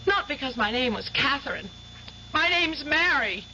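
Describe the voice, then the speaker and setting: A young woman speaks with wounded, accusing intensity. Her voice is fairly high and tense, delivered dramatically over the faint hum of an old radio recording. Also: animated, moderate pace, pushed and bright, lo-fi sound, exaggerated voice. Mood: defiant.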